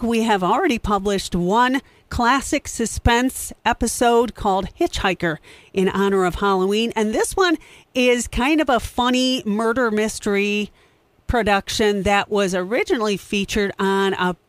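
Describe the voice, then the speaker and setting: A woman's voice: medium pitch, a little slow, no room sound. A woman hosting as a theatre director, giving a casual spoken introduction to a show. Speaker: host, theatre company director.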